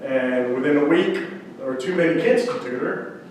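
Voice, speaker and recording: A man from central Mexico whose first language is Spanish, giving a lively startup pitch and quizzing the audience. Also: normal speed, distant mic, slight background noise. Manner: conversational, quizzes the audience with questions. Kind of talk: energetic startup pitch talk. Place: event room with audience. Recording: echoey room, speaker away from mic.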